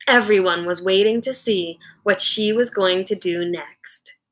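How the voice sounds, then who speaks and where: A woman with a bright, forward voice reads a children's story aloud like a teacher. Her delivery is slow and deliberate, with expressive, dramatic storytelling. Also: medium pitch. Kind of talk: expressive read-aloud narration.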